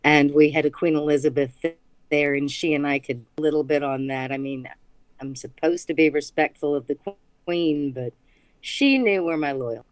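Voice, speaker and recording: A woman guest on a casual interview podcast, speaking haltingly with restarts and easy laughter. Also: low voice, dry recording. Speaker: guest, small business owner.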